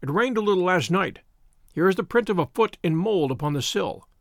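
A brilliant detective lays out his reasoning in a crisp, confident, lecturing manner, voiced by a man. His voice moves freely up and down with a gravelly grain. It sits dry and close to the mic. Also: sits high, animated, brisk, no room sound. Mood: brisk lecturing.

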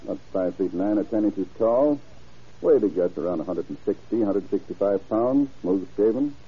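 An actor playing a careful, factual bank official in a vintage radio crime drama, listing details deliberately. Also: male voice, on the low side, lively intonation, husky. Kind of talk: 1940s-50s radio crime drama acting. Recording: dull vintage radio recording.

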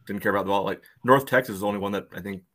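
A man's voice: lowish voice, very fast, a bit bright. A man on a casual sports podcast panel, speaking in a blunt, matter-of-fact way. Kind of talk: casual soccer discussion.

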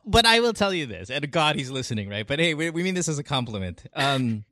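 A Filipino man co-hosting a casual chat in English and Tagalog, emphatic and opinionated, quick to laugh. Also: on the high side, very animated, sharp tone, dry recording, studio quality. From Philippines. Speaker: podcast co-host.

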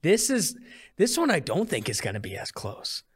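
A man's voice: fairly high pitch, wildly swooping pitch, moderate pace, husky. A man hosting a sports podcast, casually analysing games and betting in an emphatic, mildly profane way.

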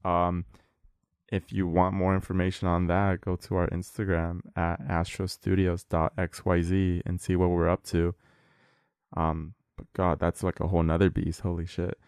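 A nineteen-year-old man with a very low voice co-hosts a casual podcast. He rambles in an earnest way, full of fillers. The sound is dry and close to the mic. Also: monotone, moderate pace, darkish tone, clear, resonant tone, dry recording. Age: late teens. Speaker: podcast co-host.

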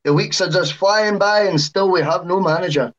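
Bloke on a podcast, says mate a lot, slightly dull sound. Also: male voice, high voice, normal speed. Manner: casual, encouraging. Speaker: podcast guest.

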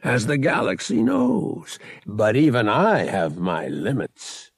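A man voicing a cruel ship's captain in an audiobook, theatrical and softly threatening. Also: medium pitch, huge pitch swings, slow, gravelly, breathy edge, no echo, studio quality, full character voice. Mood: quietly menacing. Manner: soft-spoken, theatrical, threatening. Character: menacing male captain, a cruel bully.

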